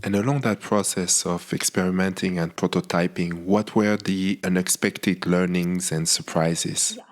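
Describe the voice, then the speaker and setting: A man's voice: deep voice, fairly even intonation, unhurried. A man from France hosting a podcast, asking thoughtful, curious questions. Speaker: podcast host.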